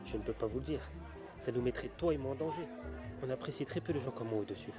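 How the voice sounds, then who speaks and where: Audio drama casting: insistent, earnest male conspiracy theorist played by a man, very quick delivery, mid-pitched, speaking in a bar. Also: lightly acted. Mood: secretive, earnest. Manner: earnest, urgent explaining. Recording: music and bar chatter behind.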